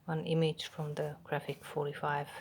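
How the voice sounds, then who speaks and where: A Finnish woman with a Finnish accent, whose first language is Finnish, quietly showing a handmade journal page by page, half-whispering with pauses and ums. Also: low voice, completely flat delivery, slight background noise. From Finland. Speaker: craft channel host. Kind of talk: soft show-and-tell of a handmade journal.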